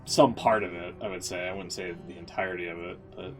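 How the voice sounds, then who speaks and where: Low-voiced man streaming over loud music. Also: fairly even intonation, fast. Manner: rambling, thinking aloud, casually profane. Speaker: game streamer reacting to lore and cutscenes. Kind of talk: casual streamer commentary.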